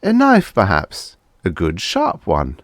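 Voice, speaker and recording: A man's voice: sits low, huge pitch swings, slow, no room sound, clean studio sound, full character voice. A man voicing a smug, cheerfully callous businessman who gives orders in a chummy, airy way, in an audiobook story. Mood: coaxing, smug. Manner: chummy, airy, casually commanding.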